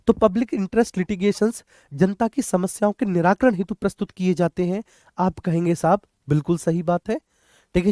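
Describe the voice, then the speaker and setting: Man, exam coach, relaxed dark tone, dry sound, instructive, repeats key points, English terms mixed in. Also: sits high, no room sound. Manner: repetitive, instructive, addressing students directly with check-in phrases. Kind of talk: question-and-answer exam lesson.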